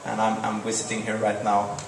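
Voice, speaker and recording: Young Finnish-accented man, halting Q&A answers, distant podium mic in a reverberant hall. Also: lowish voice, completely flat delivery, crowd noise. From Finland. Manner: halting, repeats words, short answers. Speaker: startup presenter. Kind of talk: Q&A after a product demo. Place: large dark event hall on stage. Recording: echoey hall, distant mic, faint noise.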